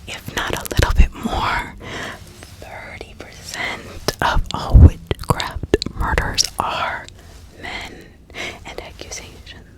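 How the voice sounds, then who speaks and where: A woman narrating true crime in a breathy ASMR whisper, deliberate and often repeating words and phrases. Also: poor audio. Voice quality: breathy, mostly unvoiced whisper. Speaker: ASMR host.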